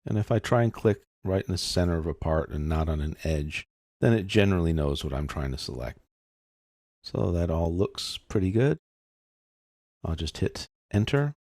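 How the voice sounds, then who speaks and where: A man narrating a software tutorial in a casual, step-by-step explaining manner. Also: deep voice, animated, normal speed, dry recording, studio quality. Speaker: software instructor.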